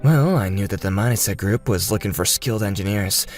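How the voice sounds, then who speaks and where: Formal low-voiced male recruiter acted by a man. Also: close to an everyday voice. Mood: persuasive. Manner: formal, measured. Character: smooth, businesslike male corporate recruiter. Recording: music bed behind the voice.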